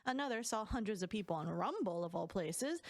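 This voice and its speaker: A woman's voice: mid-range voice, lively intonation, a little fast, no echo, clean studio sound. A woman narrating a scripted commentary video with indignant, emphatic delivery.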